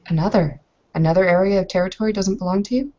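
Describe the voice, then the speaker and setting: A woman's voice: medium pitch, fast, warm tone, dull audio, close to an everyday voice. A woman playing an earnest young Bavarian bride in a tabletop game, formal, inquisitive and direct. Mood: composed, direct. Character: earnest, bold young Bavarian kinfolk bride, newly arrived.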